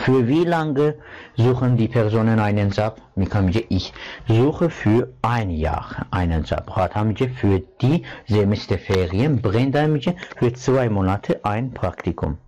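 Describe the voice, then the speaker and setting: A man teaching a German lesson, reading words and textbook passages aloud and quickly translating each one. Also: lowish voice, darkish tone. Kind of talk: language lesson, glossing words.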